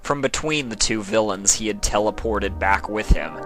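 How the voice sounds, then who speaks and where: A man reading fan fiction aloud as narrator, in an expressive scripted storytelling style. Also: on the low side, normal speed, music in the background.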